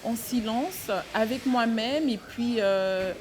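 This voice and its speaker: A woman from French Guiana, the founder of a fashion brand, talks about her childhood in an interview, slowly and hesitantly, with many fillers. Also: a little high, noisy background.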